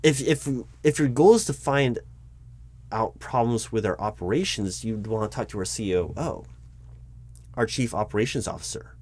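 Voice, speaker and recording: An exasperated recruiting manager played by a man in an office roleplay, speaking in a fairly low voice. He explains things insistently and haltingly, often doubling back to repeat himself. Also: darkish tone, close to an everyday voice. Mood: insistent, lecturing. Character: flustered, exasperated male human resources recruiting manager, lecturing his boss. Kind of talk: one-sided improvised audio roleplay, loud spoken.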